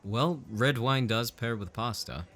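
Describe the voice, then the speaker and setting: A man playing an affectionate, easygoing father and husband, chipper and gently teasing, in an audio drama. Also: medium pitch, a little slow, faint music behind, close to an everyday voice. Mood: warm, playful.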